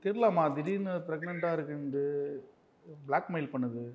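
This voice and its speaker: A man's voice: on the high side, brisk. A man chatting casually on a phone call in a hesitant, innocent-sounding way. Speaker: husband making a prank phone call. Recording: dull band-limited sound, music behind.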